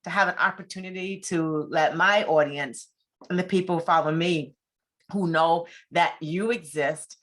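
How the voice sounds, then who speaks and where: A woman's voice: fairly low pitch, fairly even intonation, normal speed, fairly bright tone. A woman hosting a conversational interview show, speaking in an effusive, encouraging way.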